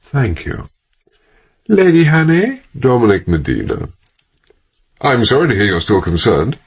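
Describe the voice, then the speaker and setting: An actor playing a courteous, insinuating gentleman villain in an audio drama, speaking with formal, suave politeness. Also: medium pitch, wildly swooping pitch, unhurried, gravelly, slightly rough, highs cut off, exaggerated voice. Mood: suavely polite. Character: smooth-mannered male villain, courteous, insinuating gentleman host.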